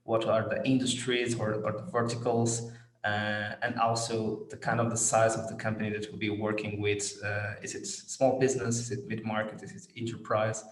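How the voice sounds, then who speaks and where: A man's voice: fairly low pitch, monotone, moderate pace. A man hosting a business podcast, introducing, explaining and putting questions to a guest. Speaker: podcast host. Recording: roomy, echoing sound.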